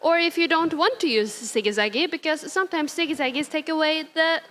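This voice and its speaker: High-voiced woman co-presenter, casual, jumps in with short remarks. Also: a bit bright. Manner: chimes in with short quick additions. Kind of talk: casual workshop talk.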